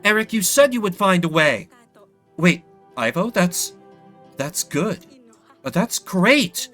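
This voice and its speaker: A man voicing a bustling, decisive queen and wife, talking in a brisk, emphatic way. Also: sits high, big pitch swings, pushed and bright, soft background music, full character voice. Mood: excited, relieved.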